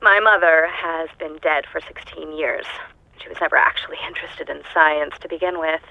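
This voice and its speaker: A lonely woman scientist coming unhinged, dictating a game audio log in a dry, clipped, detached way with flashes of manic glee. The sound is dull and lo-fi, with a flat, dry close-mic feel. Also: sits low, moderate pace, creaky, dry recording. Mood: rambling. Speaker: scientist recording audio logs.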